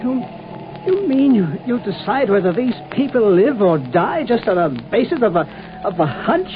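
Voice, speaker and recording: Earnest higher-voiced professor, tinny radio. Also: male voice, sing-song, normal speed, over music. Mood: indignant, worried. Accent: American. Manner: hesitant, pleading, earnest. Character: earnest, idealistic, dreamy male scientist professor. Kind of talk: 1950s American radio drama acting. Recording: tinny 1950s radio, faint noise.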